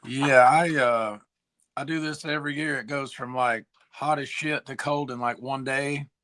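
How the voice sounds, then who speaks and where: A hoarse man, a musician guest chatting casually and laid-back on a video call. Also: medium pitch, steady tone, unhurried, fairly bright tone. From Tennessee. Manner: laid-back, slangy, says "man" and "dude". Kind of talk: casual chat.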